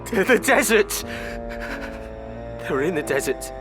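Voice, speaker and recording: An actor playing a frightened swordsman under interrogation in a video game, halting and desperate. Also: high voice, sing-song. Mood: terrified. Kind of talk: dramatic video game acting. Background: music.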